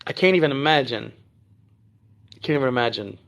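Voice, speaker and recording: A man from Texas who speaks standard American, hosting a casual phone livestream from home and chatting through viewer comments with many ums and throat clears. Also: mid-range voice, lively intonation, brisk, fairly bright tone, muffled recording. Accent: none, trained standard American. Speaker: livestream host. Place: at home near Dallas.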